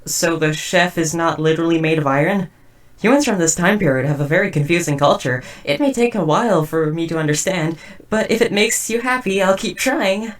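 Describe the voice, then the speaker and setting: A woman's voice: on the low side, a little fast, recorded from a distance, natural voice. A woman playing a socially awkward, devoted but murderous robot house spouse in a roleplay audio drama, speaking in stiff, wordy, formal phrases. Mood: relieved, puzzled. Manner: stiff, verbose, overly formal wording. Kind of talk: scripted roleplay audio drama addressed to listener.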